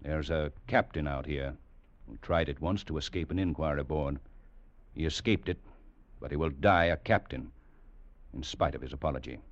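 An actor playing a stern, middle-aged cavalry captain in a 1940s radio drama, speaking in a clipped, formal, lecturing manner. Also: male voice, extremely low pitch, normal speed, no room sound. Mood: grave, commanding. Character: stern, exacting veteran cavalry captain, grey and tired. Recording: dull vintage radio recording.

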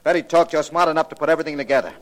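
An actor playing a tough, impatient gangster in a 1940s radio crime drama, curt, slangy and threatening. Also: male voice, fairly high pitch, fairly even intonation, very fast, natural voice. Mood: menacing.

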